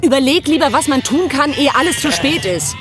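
Resolute wife, high urgent voice. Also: female voice, expressive, brisk. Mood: firm reasoning. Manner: urgent, forceful, challenging. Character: herdsman's wife, resolute, pleading, sensible. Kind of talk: German radio play acting. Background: music and bleating sheep.

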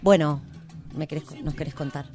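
A woman's voice: low voice, fairly even intonation, fairly quick, a little mellow, faint music behind. A woman with a Rioplatense Argentine accent, hosting a radio show in a casual, informal style. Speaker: radio host.

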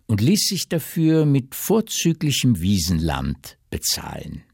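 A man's voice: medium pitch, wildly swooping pitch, unhurried, darkish tone, slightly rough, no room sound, clean studio sound. A man narrating a literary radio drama as storyteller.

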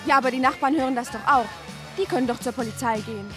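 A woman's voice: high voice, quick pace, close to an everyday voice. An actress playing a naive, stubborn teenage girl with a questioning manner in a German radio play. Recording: music behind the voice.

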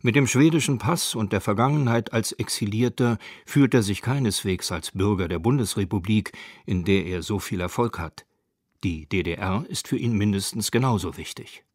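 A man's voice: lowish voice, moderate pace, no echo, studio quality. A man reads scripted radio feature narration in a factual, precise way. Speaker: documentary narrator. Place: dry studio.

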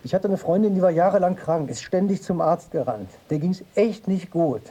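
An actor in a German radio drama playing an anxious, stubborn hypochondriac who broods and justifies himself in a naturalistic way. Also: male voice, high-pitched, lively intonation, moderate pace, mellow. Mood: agitated, insistent. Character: anxious, stubborn male hypochondriac, technical editor.

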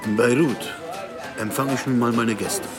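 An actor playing a quietly menacing crime boss in a radio drama, unhurried and ironic. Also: male voice, medium pitch, steady tone, natural voice. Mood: amused, superior. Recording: music clearly behind the dialogue.

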